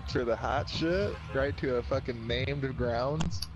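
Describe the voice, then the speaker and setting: Male gamer, mid-pitched, casual and foul-mouthed squad chatter with music behind. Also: takes it slowly, highs cut off. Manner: sweary, slangy. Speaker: gamer playing squad online shooter. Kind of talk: casual gaming chat.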